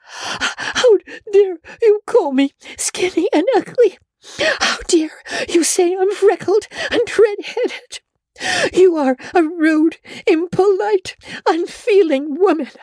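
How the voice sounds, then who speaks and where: A woman voicing a furious, wounded orphan girl in a dramatic audiobook, with a shrill, choked voice and vehement, stammering indignation. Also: slow pace, darkish tone, no room sound, studio quality. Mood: tearful fury. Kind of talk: dramatic audiobook character acting.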